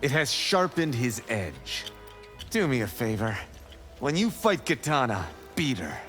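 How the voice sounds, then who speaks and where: A man's voice: mid-range voice, very animated, unhurried, a bit bright, soft background music. A man playing a playful, cocky warrior in a fighting game, with a smug, boastful delivery. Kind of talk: fighting game dialogue acting.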